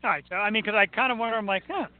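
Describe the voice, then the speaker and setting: A man's voice: high voice, racing, slight background noise. A man hosting a talk show, asking questions in a joking, laid-back way. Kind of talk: casual interview chat. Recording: tinny phone-like line, dry.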